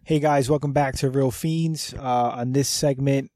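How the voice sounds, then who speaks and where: A man hosting his podcast, congested from a slight cold, talking casually and enthusiastically with frequent swearing and throat clearing. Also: mid-range voice, fairly even intonation, measured pace, warm tone, faint music behind. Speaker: podcast host. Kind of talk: casual review monologue.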